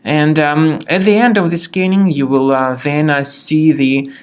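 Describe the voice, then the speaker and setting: A man narrating an instructional software tutorial in a semi-scripted way, with halting, deliberate phrasing. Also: on the high side, fairly even intonation, clean tone.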